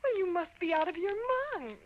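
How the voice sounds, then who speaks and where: A woman's voice: very high voice, expressive, a little fast. A woman playing a wounded, indignant young fiancée in a radio comedy, tearful and melodramatic. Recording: muffled vintage radio recording.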